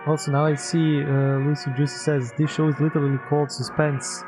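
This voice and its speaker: A man's voice: on the high side, level tone, a little fast. A man giving casual live gameplay commentary, rambling and thinking aloud. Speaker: game streamer. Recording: slightly dull streaming audio with music.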